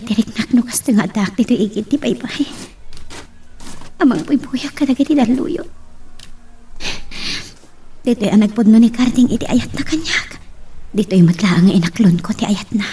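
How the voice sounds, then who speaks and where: A woman's voice: on the high side, moderate pace, long pauses, warm tone, slightly rough. An actress with an Ilocano accent playing a hurt, lovelorn wife and mother in an emotional romantic drama. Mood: wistful, tender. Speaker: drama actor. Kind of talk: Ilocano romantic radio-style drama acting. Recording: faint background noise.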